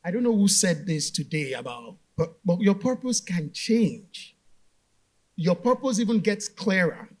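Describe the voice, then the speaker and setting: A man from Nigeria talks on a conference stage, bluntly pushing back on a question before building to firm points. His voice sits a little high for a man. He sounds like a panelist speaking to a live audience. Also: expressive, measured pace, darkish tone. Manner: direct, challenges premises, then builds to emphatic points. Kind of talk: motivational panel talk.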